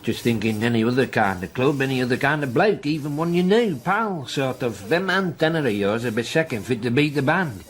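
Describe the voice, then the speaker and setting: A man's voice: mid-range voice, lively intonation, a little fast, hardly any pauses, lightly acted. A man acting a blunt, needling police detective in a radio drama, with a wry, colloquial manner.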